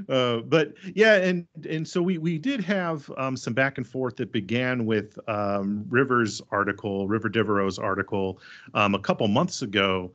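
A man's voice: medium pitch, measured pace, a bit bright, muffled recording. A man who is a priest, speaking in a thoughtful podcast discussion and explaining things at length.

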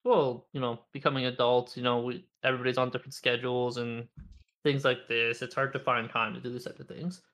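A man's voice: medium pitch, level tone, a little fast, fairly bright tone. A young man co-hosting a casual podcast, talking in a chatty, reflective way. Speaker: podcast co-host. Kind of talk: casual chat.

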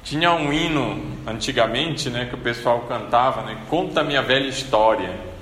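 A man preaching a sermon in a conversational storytelling manner, often tagging his points with a quick rhetorical question. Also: medium pitch, a bit bright, slight background noise. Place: church. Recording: echoey church hall with faint ambience.

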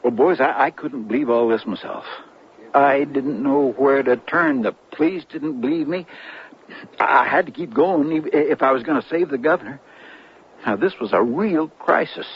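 Excitable, folksy trucker, dull radio sound. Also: male voice, medium pitch, normal speed, husky. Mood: earnest, insistent. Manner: agitated, stammering, folksy. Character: excitable, earnest trucker and CB storyteller insisting he's believed. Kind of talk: 1970s radio drama acting. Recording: dull old radio broadcast, loud music behind.

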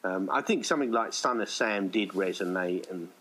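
A British man with a fairly low voice talks in a loose, conversational style. He rambles chattily as he explains things, like a writer talking shop. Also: normal speed, fairly bright tone. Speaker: audio play writer. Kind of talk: casual conversation.

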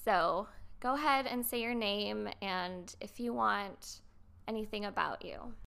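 A woman hosting a casual talk show, chatting with friends in a relaxed way with fillers. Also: mid-range voice, measured pace, vocal fry, clean tone. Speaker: podcast host. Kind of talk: casual chat.

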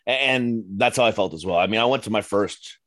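A man's voice: fairly low pitch, fairly quick, fairly bright tone, no echo. A man in his forties hosting a podcast, telling stories in an earnest, rambling, casual way. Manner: earnest, storytelling, repeats himself. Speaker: podcast host.

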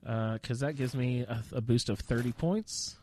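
A man's voice: mid-range voice, normal speed, a little mellow, slight background noise. A man giving casual, rambling gameplay commentary, self-deprecating and jokey, sniffling a little with a slight cold. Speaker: gamer narrating own playthrough.

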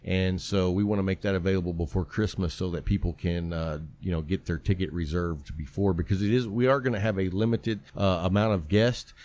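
A man's voice: low voice, fairly even intonation, fairly quick, few pauses, a little mellow. A man hosting a podcast, chatting casually and promoting upcoming events in an easygoing, talkative way. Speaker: podcast host. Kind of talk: casual interview chat.

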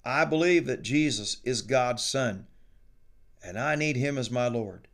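A man reads a gruff old country man in a storybook, earnest and humble, with a gravelly, folksy voice. He speaks plainly, at a mid pitch. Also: a little slow, fairly bright tone.

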